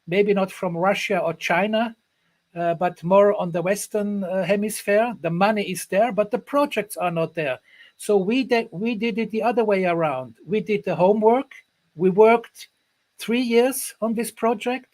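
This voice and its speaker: A man from Ghana with a high-pitched voice talks earnestly on a video call. He often circles back and repeats his phrases before going on. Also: measured pace, a little mellow. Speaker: guest, rail project executive. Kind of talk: online panel discussion.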